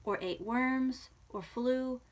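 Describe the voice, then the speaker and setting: A woman's voice: sits high, takes it slowly, pitched above the performer's own voice. A woman voicing a stern, exasperated mother cat in a storybook, lecturing her kitten. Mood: stern scolding. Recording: clear background room noise.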